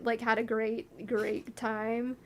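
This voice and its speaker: A young woman chatting through a music review, excitable and tripping over her words as she goes. Her fairly high voice is wearing thin from illness. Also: slow pace. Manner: excitable, repeats words, lots of fillers. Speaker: co-host of music review show. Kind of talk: casual chat reviewing music videos.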